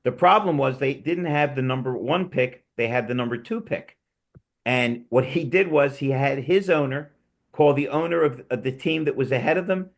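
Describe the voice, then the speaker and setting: Male host, mid-pitched, gravelly, chatty storyteller on a remote call. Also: normal speed. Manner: rambling, enthusiastic storyteller with restarts. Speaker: podcast host. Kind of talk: casual panel chat.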